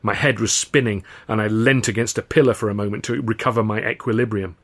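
Wry male storyteller, low voice. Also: fairly even intonation, fast, fairly bright tone. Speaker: author and narrator. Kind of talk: scripted audiobook narration.

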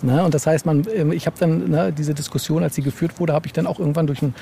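A man's voice: fairly high pitch, steady tone, quick pace, creaky, warm tone, a little hiss or hum. A man talking casually as an interviewed author, searching for words and often adding tag questions. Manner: hesitant, searching delivery with frequent tag questions.

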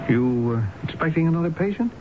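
An actor playing a young psychologist in a radio drama, questioning and concerned. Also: male voice, a little high, animated, fairly quick, lightly acted. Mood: polite, concerned. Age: young adult. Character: concerned young psychologist, junior associate to a doctor. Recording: muffled, noisy old radio broadcast.

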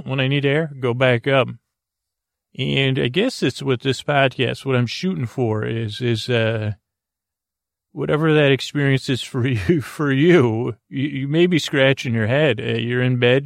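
A middle-aged man with a gravelly edge to his voice, talking in a soft, meandering way as he opens a bedtime podcast. He wanders off topic, restarts his sentences and keeps his tone low-key. The sound is close and dry, with no room around it. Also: dry recording. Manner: meandering, self-interrupting, soft-spoken. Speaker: podcast host. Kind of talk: rambling bedtime podcast intro.

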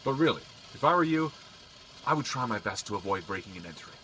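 An actor playing a teasing, casually skeptical police officer in an audio drama, dry and conversational over car engine rumble. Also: male voice, very animated, quick pace, pushed and bright. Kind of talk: naturalistic audio drama acting.

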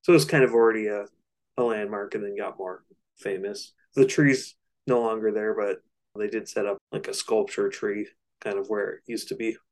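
A man's voice: lowish voice, fairly even intonation, moderate pace. A man co-hosting a casual album discussion, explaining things in a loose, slightly hesitant way. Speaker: podcast co-host.

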